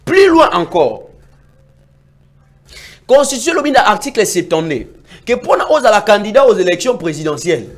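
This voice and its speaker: A man arguing about politics in a heated debate, emphatic and sometimes nearly shouting. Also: sits high, big pitch swings, unhurried, long pauses. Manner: emphatic, rising to near-shouts when making points. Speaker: political commentator.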